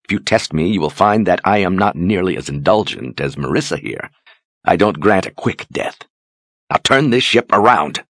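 A man voicing an imperious, impatient sorceress who gives sharp, clipped orders. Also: low voice, lively intonation, normal speed, dry recording, heavily acted. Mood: commanding.

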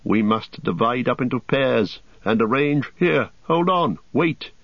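A man's voice: mid-range voice, unhurried, no room sound, muffled recording. A man voicing a grave, formal male ranger who leads with authority, for a storybook. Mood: urgent command. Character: grave, commanding male ranger, leader of travellers.

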